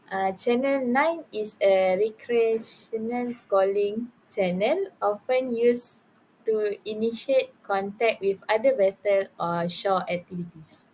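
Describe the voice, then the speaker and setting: A woman's voice: mid-range voice, slow, darkish tone, low-quality recording. Woman formally presenting, faint noise. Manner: explanatory, formal. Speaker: student presenter. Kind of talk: slide presentation read aloud.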